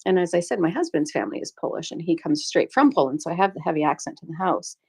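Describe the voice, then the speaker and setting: Canadian woman shop owner, kinda low voice, earnest, laughs a lot. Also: sing-song, fast, creaky, darkish tone, husky. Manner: earnest, reflective, laughs often. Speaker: small shop owner sharing a story. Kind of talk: casual chat on a live audio space.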